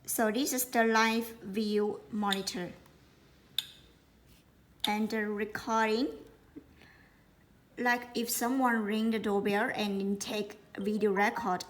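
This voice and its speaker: A woman giving an unscripted product demonstration, speaking haltingly and often repeating words as she explains. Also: medium pitch. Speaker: product presenter.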